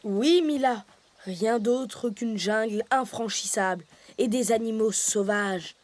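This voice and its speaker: A woman's voice: a little high, takes it slowly, darkish tone, no echo. A woman voicing a brave, eager young leopard boy who leads his friends in a cartoon dub. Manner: upbeat, leading friends. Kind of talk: French cartoon dubbing.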